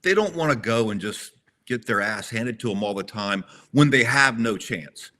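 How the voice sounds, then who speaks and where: A man in his sixties with a bright, forceful voice talks through a casual interview. He rambles and restarts his sentences, correcting himself as he goes. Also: mid-range voice, animated, normal speed. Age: 60s. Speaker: interview guest, competitor.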